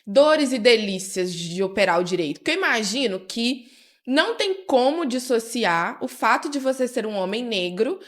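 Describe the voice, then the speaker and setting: A woman hosting a casual podcast interview. Her voice sits fairly high, and she banters playfully with her guest. She asks long, conversational questions. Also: lively intonation, moderate pace. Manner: playful, teasing, chatty. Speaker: podcast host.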